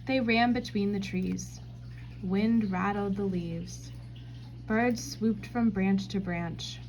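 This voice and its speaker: A woman reading a children's storybook aloud in scripted, expressive narration. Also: medium pitch, slow pace, a little hiss or hum, rough recording.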